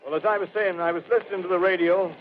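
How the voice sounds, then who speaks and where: An actor playing a slow, rambling country farmer in a 1930s radio drama, halting and folksy. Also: male voice, high-pitched, fairly even intonation, natural voice. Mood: bewildered, plodding. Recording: muffled, noisy old 1930s radio broadcast.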